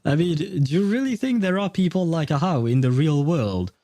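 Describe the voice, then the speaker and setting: A man's voice: fairly high pitch, expressive, normal speed, warm tone, no room sound, studio quality. A young man casually commenting on his gameplay, chatty, laughing often and swearing. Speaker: game streamer.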